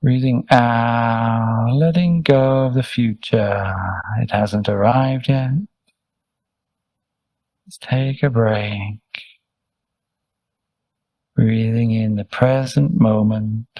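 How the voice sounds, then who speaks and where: A man leading a guided meditation as a teacher, speaking gently and soothingly in short, unhurried instructions with pauses. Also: medium pitch, steady tone, dry recording, dull audio. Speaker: meditation teacher, Buddhist monk.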